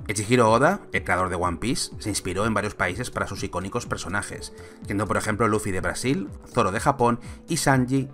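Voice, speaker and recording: A man's voice: on the low side, a bit bright. A man in his thirties from Spain, a film commentator giving an argumentative, sarcastic opinion vlog on a close mic.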